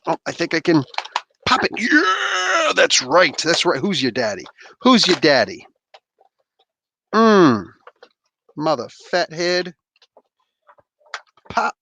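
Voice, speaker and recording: A man's voice: a little high, creaky, bright, forceful tone, slight background noise. A man in his thirties from New Jersey, hosting a casual livestream, rambling and slangy as he reads chat and opens toys. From New Jersey, USA. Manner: laid-back, rambling, laughs often, slangy. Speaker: livestream host.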